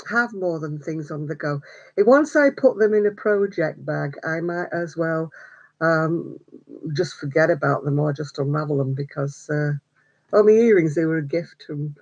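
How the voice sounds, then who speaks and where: An older woman hosting a casual live stream, chatty and greeting viewers by name between little laughs. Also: sits low. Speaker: crochet vlogger. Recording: slightly dull home stream audio.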